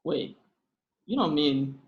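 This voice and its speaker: A woman's voice: sits low, animated, takes it slowly, roomy sound, muffled recording, exaggerated voice. A woman playing a good-natured, hesitant young college guy in a comedy table read. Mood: puzzled. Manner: hesitant, puzzled, earnest.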